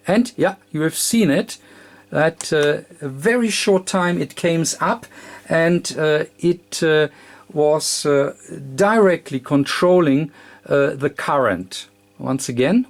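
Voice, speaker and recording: A man who is a non-native English speaker, giving an unscripted hands-on electronics demonstration in halting, hesitant, fragmented phrases. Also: mid-range voice, darkish tone. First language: not English. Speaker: electronics tutorial presenter.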